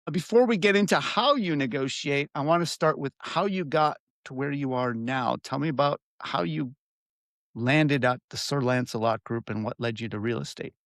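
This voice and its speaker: A man hosting a mediation podcast, asking questions in a friendly, measured interview manner. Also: mid-range voice, fairly bright tone, no room sound, studio quality. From Florida.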